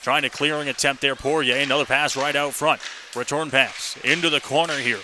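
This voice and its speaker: Guy doing live hockey play by play, really sharp punchy voice, bit of rink noise behind. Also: mid-range voice, fairly even intonation, a little fast. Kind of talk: live radio sports commentary. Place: ice hockey arena.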